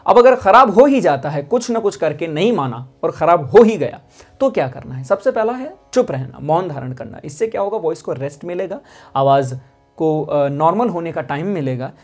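Young man, music teacher on camera, a touch high-pitched, keeps checking in, faint music underneath. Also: animated, normal speed, darkish tone, husky. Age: young adult. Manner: explanatory, keeps asking "है ना?". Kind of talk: vocal care lesson to camera. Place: indoor studio with instruments.

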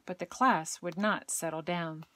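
A woman with a gentle, expressive storytelling manner, reading a children's picture book aloud. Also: fairly low pitch, measured pace, dry recording. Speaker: educator and child care provider reading aloud.

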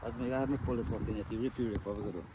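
A man hauling in a fishing net outdoors, talking in hurried bursts and cursing, away from the phone mic. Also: fairly low pitch, background noise. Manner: hurried, cursing while working. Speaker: fishing partner. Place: outdoors by a roadside canal.

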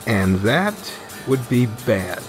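A man's voice: medium pitch, very animated, slow pace, over music. A man voicing an easygoing launch technician in a comic dub, casual and a little hesitant. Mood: cautioning. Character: easygoing male launch technician explaining safety.

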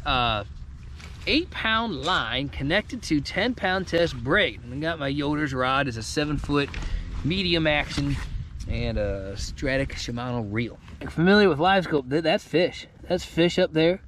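A middle-aged man hosting a casual fishing vlog from a boat on a lake, folksy and excitable, picked up by an action camera. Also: a little high, a little slow. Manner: excited, folksy, exclaims at catches. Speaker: fishing video host. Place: on a bass boat on a lake outdoors. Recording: outdoor wind and boat noise.

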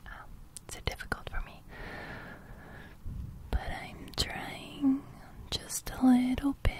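Young woman doing asmr, breathy crackly whisper right up on the mic, really slow and reassuring. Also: sits high, monotone. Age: young adult. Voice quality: breathy crackly whisper edging into soft speech. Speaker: ASMR host. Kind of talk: whispered ASMR roleplay, personal attention. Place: indoors, close to the microphone. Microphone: large studio microphone, very close.

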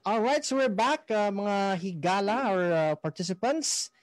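A man with a Filipino accent hosting a live program informally, halting as he searches for words. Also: sits high, animated, over music. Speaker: host.

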